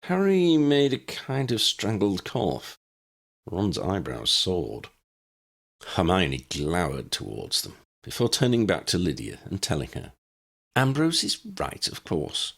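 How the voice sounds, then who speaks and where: A man's voice: low voice, wildly swooping pitch, unhurried, creaky, slightly rough. A man reading scripted story narration as an audiobook narrator.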